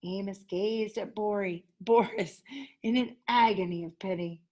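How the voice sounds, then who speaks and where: A woman's voice: mid-range voice, a little slow, mellow. A woman teacher reading a children's story aloud expressively, leaning dramatically on key words.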